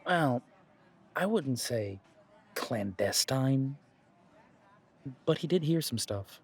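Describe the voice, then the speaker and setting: A man's voice: medium pitch, lively intonation, slow pace, breathy edge. A man playing a caring, easygoing werewolf pack leader in an audio roleplay, talking in a casual, conversational way with trailing phrases. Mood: defensive, earnest.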